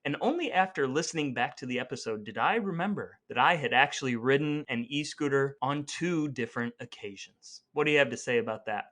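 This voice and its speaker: A man's voice: mid-range voice, animated, fairly quick, hardly any pauses, a bit bright. A man co-hosting a podcast in a chatty, partly scripted way, with a playfully mock-grandiose manner. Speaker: podcast co-host.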